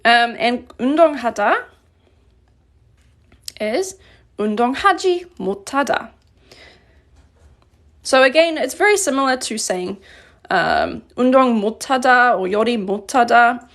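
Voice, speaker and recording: Woman teaching grammar, halting ums. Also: a little high, animated, a bit bright. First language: English. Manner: halting, thinking aloud with frequent ums. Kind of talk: live-streamed grammar lesson.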